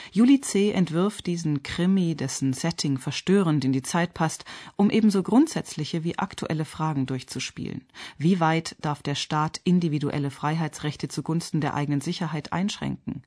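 A woman's voice: sits low, darkish tone. Articulate woman reading a radio review. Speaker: radio theatre critic. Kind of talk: scripted radio review narration.

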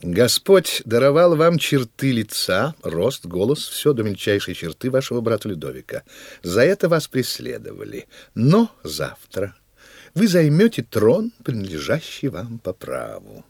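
An actor playing a scheming, ambitious churchman in a radio play, commanding, measured and theatrical, with a husky voice. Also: mid-range voice, huge pitch swings, a little mellow, no echo, clean studio sound, exaggerated voice. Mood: persuasive, urgent. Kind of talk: Russian radio play acting.

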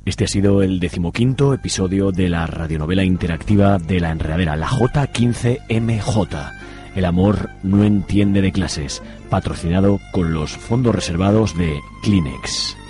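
A man's voice: deep voice, moderate pace, music in the background. A man presenting informally on radio, announcing to listeners. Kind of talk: informal programme sign-off announcing.

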